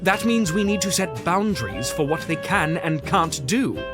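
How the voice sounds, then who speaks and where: An actor playing a caring, exasperated Victorian doctor in an audio drama, fussy, earnest and gently scolding. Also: male voice, on the high side, big pitch swings, normal speed, music in the background.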